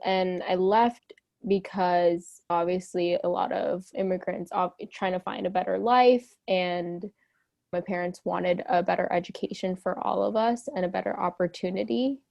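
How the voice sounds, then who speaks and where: A woman's voice: on the low side, steady tone, moderate pace, vocal fry, darkish tone. A young adult woman from New York, a guest in an interview, answering thoughtfully with small laughs. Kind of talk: casual interview chat.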